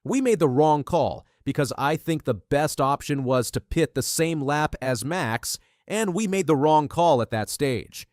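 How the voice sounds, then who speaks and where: Lively, higher-pitched male news narrator. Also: a little slow, hardly any pauses, dry recording, studio quality.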